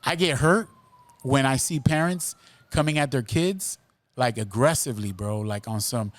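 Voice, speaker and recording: A man's voice: medium pitch, moderate pace, no echo. A man hosting a casual podcast chat, speaking earnestly and often repeating words as he starts a thought. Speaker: podcast host. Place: Miami.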